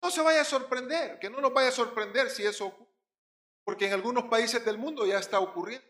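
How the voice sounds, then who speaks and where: A male pastor with a Puerto Rican accent preaching a sermon, swinging between loud stressed words and quiet drops. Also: extremely high pitch, fairly quick, roomy sound.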